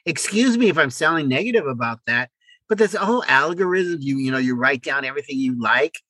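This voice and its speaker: A man with a bright, punchy voice, pitched a little high, talks as a podcast co-host. He chats casually and bluntly, with an animated, joking manner. The sound is close and dry. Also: fairly quick, dry recording, low-quality recording. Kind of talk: casual conversational chat.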